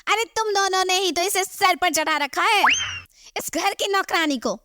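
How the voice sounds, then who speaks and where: A woman voicing a scolding, exasperated mother-in-law in an animated story, with a nagging, theatrical delivery. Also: extremely high pitch, normal speed, loud music behind the voice. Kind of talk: Hindi animated moral story voice acting.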